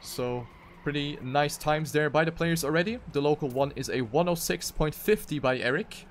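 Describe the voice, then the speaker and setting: Higher-voiced man commentating an esports race. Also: moderate pace, slight background noise. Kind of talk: live game commentary.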